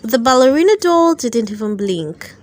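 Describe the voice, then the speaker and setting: A woman narrating a children's storybook aloud, with careful, expressive storytelling. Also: on the high side, moderate pace. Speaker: storyteller, channel host.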